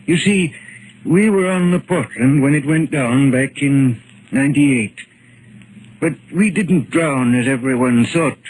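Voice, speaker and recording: An actor playing a secretive, courtly old gentleman recluse in 1940s radio drama, formal, polite and measured. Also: on the high side, slight background noise, lightly acted, low-quality recording.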